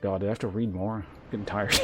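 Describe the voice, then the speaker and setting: A man's voice: fairly low pitch, steady tone, fairly quick, music in the background. A man chatting casually as a game streamer, in a wry, self-deprecating way. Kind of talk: casual live stream chat.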